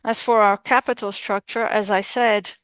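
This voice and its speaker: A woman interpreting live on a formal corporate earnings call, speaking in measured, halting short phrases. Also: mid-range voice, little pitch movement, no echo.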